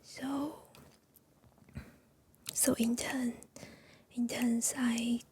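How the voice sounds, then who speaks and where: A woman whispering gently in an ASMR chat, pausing often with soft fillers, a Chinese speaker talking in English. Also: a little high, level tone, a little hiss or hum. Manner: soft unvoiced whisper with many pauses and fillers. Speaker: ASMR creator.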